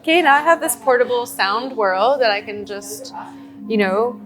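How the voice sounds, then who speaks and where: A woman chats informally as an interview guest. Her voice is a little high and her manner bubbly. Music plays clearly behind her. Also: normal speed. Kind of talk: casual chat. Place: art gallery reception.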